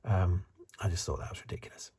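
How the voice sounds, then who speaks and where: A very breathy, soft-spoken man delivers ASMR commentary, often slipping into a whisper. His voice is deep and dark. He muses in a conversational, hesitant way, as if thinking aloud. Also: steady tone, quick pace. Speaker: ASMR reviewer.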